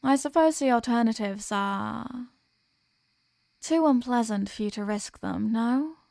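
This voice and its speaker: Slow, possessive vampire mistress voiced by a woman. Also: a little high, vocal fry, a little mellow, no echo, studio quality. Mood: persuasive, smug. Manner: slow, teasing, condescending, formal phrasing. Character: possessive, dominant female vampire toying with captive prey. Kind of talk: ASMR roleplay, scripted intimate monologue.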